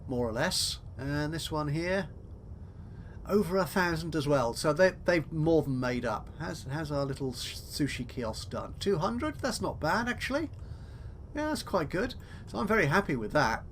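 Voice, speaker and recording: A man's voice: on the high side, lively intonation, normal speed. Man chatting over a game, some noise. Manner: chatty, thinking aloud, talking to himself. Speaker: gaming video host. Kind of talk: casual let's play commentary.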